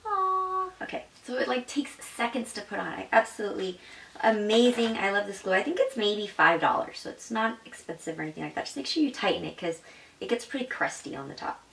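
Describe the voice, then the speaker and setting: A woman's voice: a little high, vocal fry, fairly bright tone, echoey room, recorded from a distance, slight background noise. A young woman gushing over beauty products in a casual vlog, demonstrating them as she talks. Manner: bubbly and gushing, demonstrating makeup while talking. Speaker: beauty vlogger. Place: home makeup room indoors.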